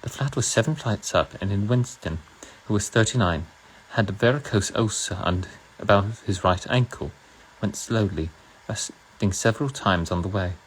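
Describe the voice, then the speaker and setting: A man putting on a British accent as a novel's narrator, reading in a measured, literary, slightly halting way. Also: lowish voice, husky, rough recording. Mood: measured storytelling. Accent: put-on British. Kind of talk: audiobook-style novel reading.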